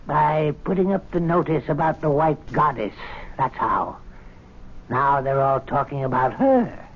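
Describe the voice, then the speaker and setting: Resentful older servant, thin radio sound. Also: male voice, fairly high pitch, animated, measured pace. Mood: sly, satisfied. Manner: grumbling, scornful, gleeful. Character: resentful older club servant, sly schemer against fine gentlemen. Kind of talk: old radio drama acting. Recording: thin, narrow-band radio drama recording.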